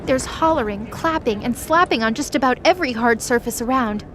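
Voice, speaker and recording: A woman's voice: on the high side, brisk. A woman reading scripted story narration for a fantasy audio drama. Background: music.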